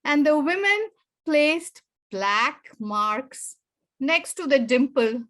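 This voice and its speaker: Slow, high-voiced older woman storyteller. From India. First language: Tamil. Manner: expressive, with wide swings in pitch and loudness. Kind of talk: personal story performed for an audience.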